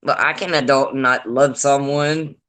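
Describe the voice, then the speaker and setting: An older woman with a deep raspy voice and a Deep Southern accent, hosting a casual livestream chat in a blunt, profane, gossipy way. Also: steady tone, moderate pace, a bit bright. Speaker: livestream host. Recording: noisy livestream audio.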